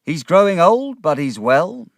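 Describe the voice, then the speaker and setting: A man voicing a distraught, guilt-ridden older gentleman in an impassioned, exclamatory way for a dramatised audiobook. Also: on the high side, sing-song, a little slow, vocal fry, dry recording, studio quality, pitched above the performer's own voice, exaggerated voice. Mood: agitated, polite.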